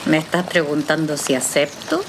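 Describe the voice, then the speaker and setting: An actress with a Chilean accent playing a skeptical, serious psychologist with a questioning manner in a naturalistic audio drama. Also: female voice, deep voice, steady tone, moderate pace, noisy background. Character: skeptical female trauma psychologist, probing and incredulous.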